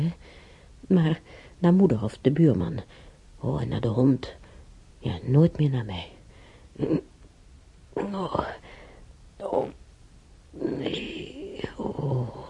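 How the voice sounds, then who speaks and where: An older woman acting in a radio drama as a frail, bedridden, wistful but plucky old woman, musing haltingly to herself in a husky, breathy voice. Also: low voice, mellow, full character voice. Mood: uneasy, regretful, then weary, stoic.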